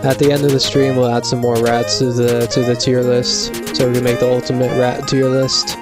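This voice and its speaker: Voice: medium pitch, level tone, normal speed, music in the background. A laid-back, slangy live streamer of unclear sex, chatting casually with mock seriousness. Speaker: video game live streamer.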